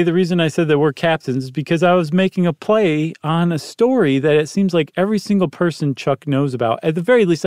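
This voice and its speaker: A man's voice: fairly high pitch, a little mellow, dry recording, studio quality. A man co-hosting a podcast, chatting casually with jokes and rambling asides. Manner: joking, rambling, playful asides. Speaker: podcast co-host. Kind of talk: casual chat.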